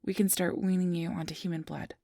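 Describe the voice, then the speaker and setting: A woman playing a friendly, easily flustered registration clerk in a soft-spoken ASMR roleplay, gentle, hesitant and reassuring. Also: on the low side, flat delivery, a little fast, gravelly, dry recording, clean studio sound. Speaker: interviewer.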